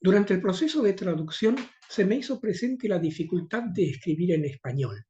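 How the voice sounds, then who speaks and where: A man's voice: sits high, expressive, moderate pace, mellow, muffled recording, a little hiss or hum. An older man with a Rioplatense Spanish accent, reading a formal academic conference talk with occasional hesitations. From Argentina. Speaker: conference speaker.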